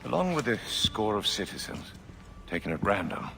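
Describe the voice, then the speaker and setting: A man's voice: lowish voice, sing-song, normal speed, creaky, over music. An actor playing a tyrannical, coldly menacing king in a video game, speaking in a stately, imperious way. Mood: cold menace. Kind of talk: video game cutscene acting.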